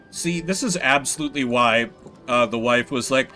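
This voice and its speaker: A male game streamer commenting casually, with wry sarcasm. His voice is bright and moves around a lot, over light background music. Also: mid-range voice.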